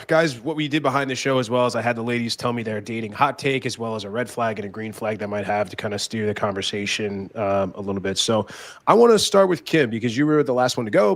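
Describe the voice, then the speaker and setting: A man's voice: medium pitch, no room sound. A man hosting a casual panel podcast, upbeat and keeping the talk moving. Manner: upbeat, keeps things moving. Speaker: podcast host and moderator.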